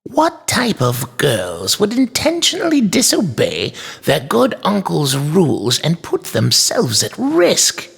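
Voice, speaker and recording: A man's voice: a little high, huge pitch swings, slow pace, creaky, fairly bright tone, breathy edge, voice pushed up, exaggerated voice. A man voicing an elderly toy maker in a storybook, stern and formally polite yet kindly at heart. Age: older.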